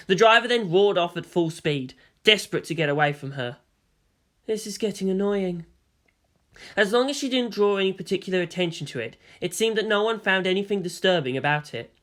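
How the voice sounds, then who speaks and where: A woman reading fan fiction aloud in a lively, expressive narrating voice. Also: fairly low pitch. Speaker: audiobook reader.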